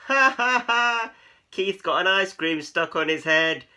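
A woman voicing excitable, teasing cats in a storybook read-aloud, squealing and exclaiming. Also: mid-range voice, unhurried, pitched above the performer's own voice. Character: crowd of excitable, teasing, loud cartoonish cats.

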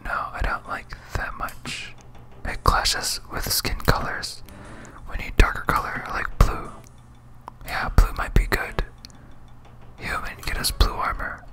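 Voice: takes it slowly, sharp tone. A streamer voicing a blunt, quarrelsome goblin general from a fantasy game in a whisper, with broken grammar. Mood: bossy.

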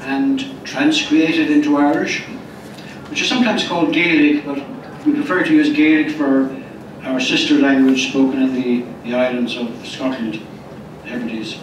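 An older man, a poet and translator, reciting poetry slowly and deliberately on a festival stage into a handheld mic. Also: medium pitch, fairly even intonation. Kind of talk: poetry reading. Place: festival stage, indoor hall. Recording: echoey hall from far off, dull and noisy.

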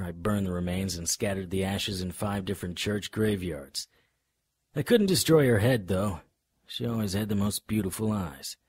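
An actor playing a world-weary, wisecracking supernatural private eye in a noir radio drama, with sardonic old-detective patter and a husky voice. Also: male voice, fairly low pitch, a little mellow. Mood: bitter, wistful.

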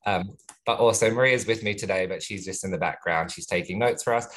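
A man with a softened Australian accent, introducing himself on a webinar in an upbeat, chatty way. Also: low voice, brisk. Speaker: sustainability manager at a nursery group. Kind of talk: friendly self-introduction.

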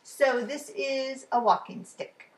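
A woman in her fifties reading riddle poems aloud for a children's storytime, expressive and deliberate, with chatty asides to the listeners. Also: high-pitched. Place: living room at home, indoors. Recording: clearly noisy room recording.

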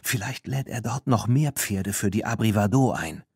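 Spirited, practical farm girl in her teens, read by a man, children's storybook, soft-edged husky tone. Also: on the low side, lively intonation, moderate pace, no echo, clean studio sound. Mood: musing.

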